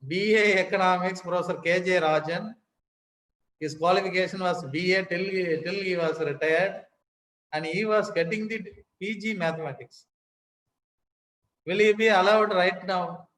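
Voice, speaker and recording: An older man with an Indian accent giving a formal lecture, emphatic and pausing often, repeating key words. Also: high-pitched, fairly even intonation, fairly bright tone, slight background noise. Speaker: academic speaker. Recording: echoey hall.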